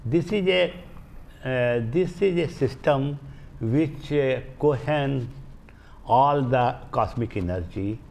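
An older man with an Indian accent explains as an interview guest in a studio, haltingly, searching for words and repeating phrases. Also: medium pitch, creaky, darkish tone. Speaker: guest, Vastu healer. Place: small indoor TV studio. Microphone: lapel mic.

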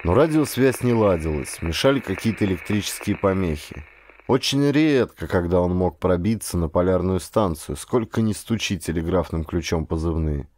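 A man's voice: deep voice, animated, moderate pace, a little mellow, a little hiss or hum. A man reading a first-person adventure story as a scripted audiobook narration.

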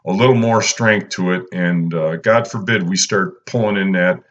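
A man, talking casually and unhurriedly through a fishing how-to as a channel host, filling pauses with "uh" and "you know". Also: low voice, a bit bright, muffled recording. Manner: laid-back, lots of "uh" and "you know". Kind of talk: casual instructional voice-over.

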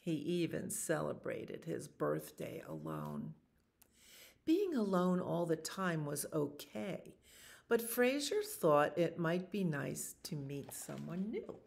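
Slow, creaky older woman reading a storybook. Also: low-pitched, very animated, a little mellow. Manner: unhurried, explaining pictures to young listeners. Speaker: children's storytime reader. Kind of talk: reading a picture book aloud with casual asides. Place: small library children's room.

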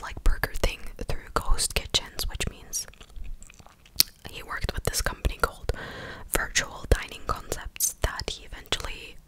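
Young female ASMR host, fully whispered, chatty and informal delivery, close-miked at home. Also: poor audio. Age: young adult. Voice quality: breathy, unvoiced whisper. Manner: informal, conversational, opinionated. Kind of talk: whispered ASMR commentary, casual chat. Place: small indoor room at home. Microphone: close desktop microphone.

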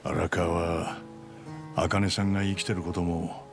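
Older buddy, heavy gravel, lowish voice, blunt, frank, video game drama. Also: male voice, little pitch movement, unhurried, soft background music. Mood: sober, curious. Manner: blunt, familiar.

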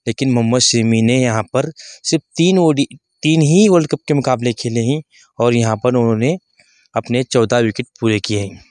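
A man's voice: medium pitch, moderate pace, a little mellow, dry recording, clean studio sound. A man hosting and narrating sports reaction commentary for his online audience. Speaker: YouTube channel host and narrator. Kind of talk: scripted-sounding reaction commentary to camera audience.

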